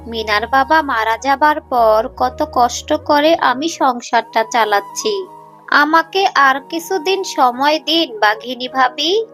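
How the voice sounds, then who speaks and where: A woman voicing a poor, weary and gentle mother fox who pleads sorrowfully in a cartoon, over music. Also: high voice, heavily acted. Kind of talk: children's cartoon story dialogue. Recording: music behind the voice.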